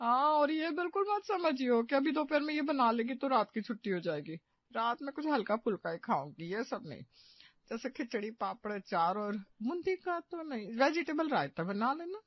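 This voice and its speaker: A woman's voice: on the high side, expressive, moderate pace, dry recording, highs cut off. A woman playing a bossy, theatrical middle-aged mother-in-law in a cartoon story, nagging with sarcasm and melodrama. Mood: demanding. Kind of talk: animated moral-story cartoon acting.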